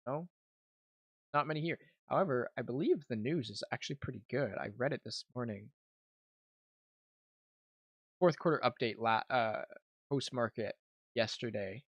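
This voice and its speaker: A man's voice: medium pitch, moderate pace, dry recording, lo-fi sound. A young man giving casual, informal live trading commentary as a livestream host, thinking aloud as he goes. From Canada. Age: young adult. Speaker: livestream host, amateur day trader.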